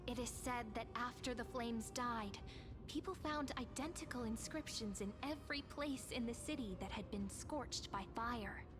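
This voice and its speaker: A woman voicing a gentle, playful young companion who narrates a legend and teases her friend, in soft scripted game dialogue over loud music. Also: on the high side, moderate pace, natural voice. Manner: soft, teasing, storytelling.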